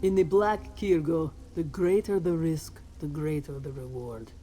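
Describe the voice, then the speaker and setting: An actress playing a fierce, battle-hardened cat-folk fighter in a fantasy video game, with cool, deliberate, menacing swagger. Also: female voice, sits low, warm tone, soft background music. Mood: grave. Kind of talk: video game voice acting, dramatic fantasy dialogue. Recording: game audio with faint background ambience.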